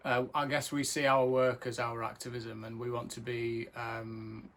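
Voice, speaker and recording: A male filmmaker speaking earnestly and thoughtfully about his work, pausing often with fillers. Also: medium pitch, little pitch movement, fairly bright tone. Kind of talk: casual film introduction.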